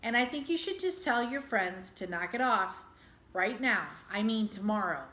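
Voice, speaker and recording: A woman's voice: mid-range voice, expressive, normal speed, bright, forceful tone. A woman reader voicing a mild, hesitant father in a children's storybook, speaking plainly. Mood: mildly firm. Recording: echoey room.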